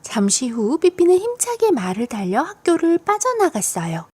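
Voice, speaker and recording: A woman's voice: high voice, wildly swooping pitch, moderate pace, warm tone. A woman reading a scripted children's story as an audiobook narrator.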